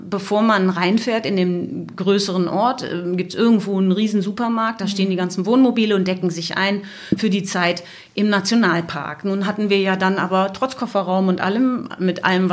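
A middle-aged woman tells a casual, detailed travel story, wandering and correcting herself as she goes. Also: mid-range voice. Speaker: podcast guest, travelling mother.

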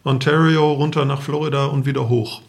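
A man's voice: mid-range voice, fairly even intonation, a little fast, vocal fry. A middle-aged man, a podcast guest, telling anecdotes in a casual conversational style. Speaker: podcast guest, travelling father.

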